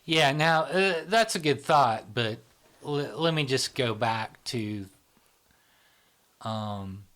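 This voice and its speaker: A man's voice: medium pitch, expressive, takes it slowly, fairly bright tone. A man hosting a casual conversation, explaining ideas in loose spoken runs and often checking in with "right?". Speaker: host, channel founder.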